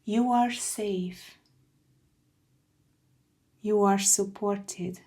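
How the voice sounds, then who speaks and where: A woman guiding a meditation from a script, soothing and hushed, with phrases that trail into breathiness. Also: medium pitch, fairly even intonation, extremely slow. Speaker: meditation guide. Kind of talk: slow guided meditation, scripted.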